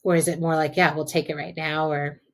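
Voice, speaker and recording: A woman's voice: sits low, level tone, brisk. An American woman hosting a conversational interview, speaking informally and reflectively as she thinks aloud. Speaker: podcast host and interviewer.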